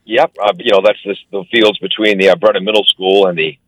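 A man's voice: on the low side, steady tone, brisk, no room sound, poor audio. A man in his sixties, a sports broadcaster and radio host, chatting casually with a wry, joking manner. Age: 60s. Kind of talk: casual chat.

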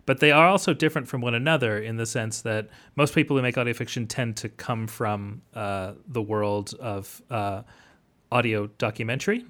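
Man, average pitch, professor being interviewed, explanatory, hesitant, thoughtful academic phrasing. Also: normal speed, fairly bright tone. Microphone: remote line.

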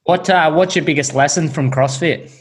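A man's voice: fairly high pitch, normal speed, husky. A man asking questions informally as the interviewer in a casual conversation.

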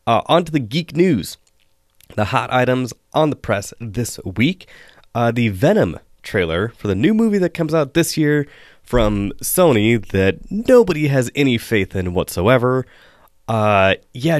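A man hosting a solo podcast, hoarse and losing his voice, chatting with wry asides. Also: mid-range voice, no echo, studio quality. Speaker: podcast host.

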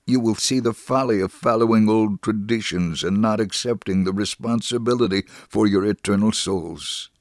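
Low-voiced man in solemn dramatic narration. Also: no echo, studio quality. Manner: earnest, solemn, measured storytelling delivery. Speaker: narrator reading a first-person testimony. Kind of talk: scripted dramatic narration of a religious story.

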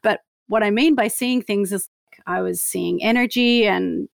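An Australian woman in her fifties whose accent carries a faint Canadian tinge. She tells her stories with plenty of laughter and jokes at her own expense. Her voice sits at a medium pitch and sounds close and dry, with no room around it. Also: moderate pace, gravelly, no room sound. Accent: Australian with a slight Canadian tinge. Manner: animated storyteller, laughs often, self-mocking. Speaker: guest. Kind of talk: casual interview chat.

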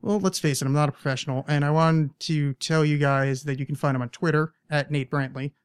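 A man's voice: a little high, steady tone, a little fast, clear, resonant tone, dry recording. A man hosting a podcast in a small studio, chatting casually in a rambling, self-deprecating way. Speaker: podcast host. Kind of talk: casual chat.

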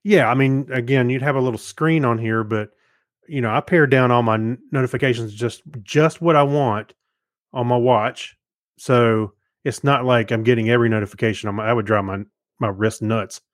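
A man's voice: mid-range voice, moderate pace, no room sound. A middle-aged man with a mid-range voice co-hosts a podcast in a casual chat. He rambles through his points and quotes his own thoughts. The sound is close and dry. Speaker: podcast co-host.

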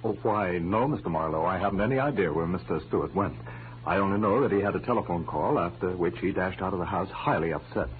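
An actor playing a formal, deferential, prim butler in a 1940s radio drama, on a muffled old recording. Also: male voice, sits low, fast talker, slight background noise, lightly acted. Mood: deferential, earnest. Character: proper, deferential male butler.

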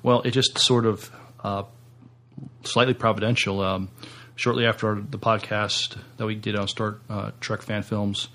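A man's voice: fairly low pitch, level tone, measured pace, a bit bright. A man co-hosting a podcast in casual chat, speaking hesitantly and often repeating words. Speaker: podcast co-host.

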